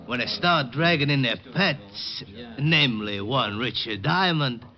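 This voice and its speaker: Actor as sneering rival detective, swooping voice. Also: male voice, mid-range voice, animated, unhurried, lightly acted. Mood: sarcastic needling. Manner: sarcastic, goading. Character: sneering, needling rival male private detective. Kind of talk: 1940s radio crime drama acting. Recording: muffled old radio recording, crowd behind.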